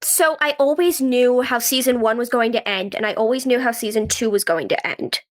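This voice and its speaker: A woman's voice: a little high, normal speed. A woman speaking informally and reflectively as an interview guest, explaining things through anecdotes. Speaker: podcast guest, writer and actress. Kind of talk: casual interview conversation.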